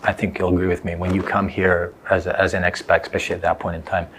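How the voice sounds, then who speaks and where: A man's voice: deep voice, level tone, quick pace, fairly bright tone, slight background noise. A middle-aged man, hosting a casual discussion as an executive. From Canada, grew up speaking Croatian. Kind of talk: casual conversational interview.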